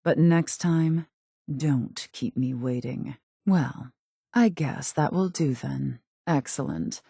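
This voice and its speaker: A cold, calculating female raider leader for a video game. Her voice is low and mellow with a heavy fry, recorded very dry. She speaks formally and with measured composure, every line carrying quiet menace. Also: no echo, full character voice. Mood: curt, commanding. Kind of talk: video game character voice acting.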